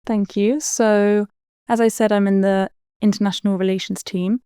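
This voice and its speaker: A woman in her twenties from Spain, answering interview questions in a relaxed, chatty way with the odd um. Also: medium pitch, moderate pace, smooth, no echo, studio quality. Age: 20s. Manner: relaxed, chatty with frequent ums. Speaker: guest.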